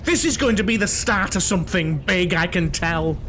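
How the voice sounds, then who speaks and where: A man's voice: high voice, lively intonation, normal speed, sharp tone, a little hiss or hum. A man playing a chatty, cheerfully sinister holidaymaker with a Northern English accent, gushing and guileless, in an audio drama. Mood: gushing, excited.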